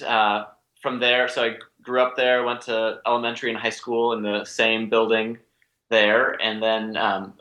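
A man's voice: on the low side, sharp tone, echoey room, poor audio. A man from Minnesota, a pastor, describing his background in a plain, friendly way. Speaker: pastor, guest. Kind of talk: interview, personal background.

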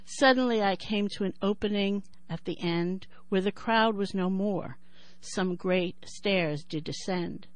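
A woman in her sixties with a New York accent who talks thoughtfully with many pauses and then reads her poem in a measured rhythm. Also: medium pitch, no echo. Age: 60s. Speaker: poet and writer. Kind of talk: interview and poetry reading.

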